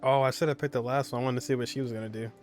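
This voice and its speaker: A man's voice: mid-range voice, steady tone, rapid-fire, a little mellow. A young man casually commenting while playing a video game, chatty with joking asides. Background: faint game music.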